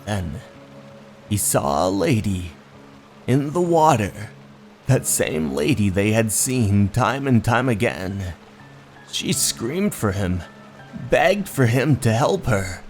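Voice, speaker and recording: A man narrating a scripted horror story in a theatrical, measured way. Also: lowish voice, lively intonation. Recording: voice over background music.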